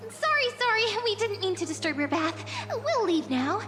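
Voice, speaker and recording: An actress playing a sweet, polite and curious young heroine in a game, with a gentle, earnest way of talking. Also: female voice, very high-pitched, sing-song, moderate pace. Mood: flustered. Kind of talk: game character voice acting. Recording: long reverb, music behind. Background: music.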